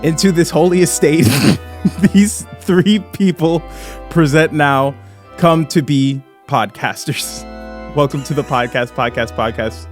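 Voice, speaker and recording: A man with a fairly high voice hosts a bantering comedy podcast. He is playful and mock-solemn, and laughs often. Faint music sits behind him. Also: takes it slowly. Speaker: podcast co-host. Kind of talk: jokey, bantering podcast chat.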